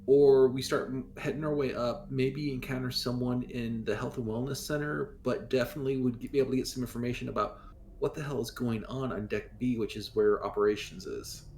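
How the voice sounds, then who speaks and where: Male tabletop player, average pitch, casual discussion, slight background noise. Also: fairly even intonation, brisk, a little mellow. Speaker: player in a tabletop roleplaying game.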